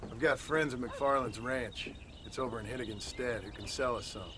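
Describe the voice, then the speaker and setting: An actor playing a gruff, world-weary middle-aged ex-outlaw rancher with an American Western drawl in a video game cutscene. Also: male voice, medium pitch, moderate pace, background noise, lightly acted. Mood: calm.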